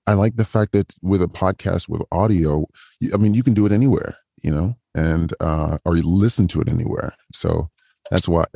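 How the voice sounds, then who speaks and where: A man with a voice modulated lower, chatting as a podcast guest in a calm, inviting way, often restarting his sentences. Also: a little fast, gravelly, no room sound, clean studio sound. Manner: chatty, with frequent restarts and repetitions. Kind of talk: casual interview chat.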